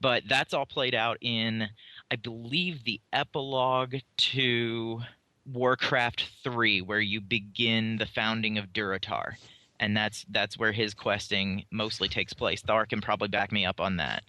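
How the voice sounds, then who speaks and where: Bright-voiced man explaining game lore. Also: lowish voice, fairly even intonation, a little slow, no echo. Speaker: podcast co-host.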